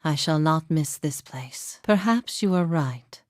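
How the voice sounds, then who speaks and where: A woman playing a grave, weary yet steadfast imprisoned heretic prophet in a fantasy game, speaking formally and with measured solemnity. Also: deep voice, expressive, no room sound, clean studio sound. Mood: reflective, earnest. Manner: solemn, measured, formal, often dropping to breathy softness. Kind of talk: dramatic fantasy game dialogue.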